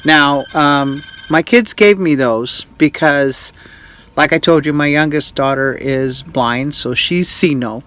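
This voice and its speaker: A man's voice: fairly high pitch, fairly bright tone. Folksy man in his sixties. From Utah. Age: 60s. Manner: folksy, unhurried, many filler pauses. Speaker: vlog host. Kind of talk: casual Q&A vlog.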